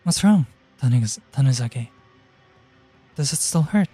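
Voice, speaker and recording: A man voicing a gentle teenage schoolgirl who speaks with soft, nonchalant reassurance. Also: medium pitch, expressive, a little slow, breathy edge, soft background music, pitched above the performer's own voice. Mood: soothing. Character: gentle teenage girl classmate, calm.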